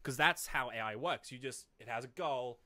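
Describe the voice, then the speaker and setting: A man co-hosting a podcast, chatting casually about films in a rambling, halting way, often quoting imagined reactions. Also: mid-range voice, bright, forceful tone, dry recording. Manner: rambling, halting explanations full of hedges and self-quotes. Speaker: podcast co-host. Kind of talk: casual film discussion.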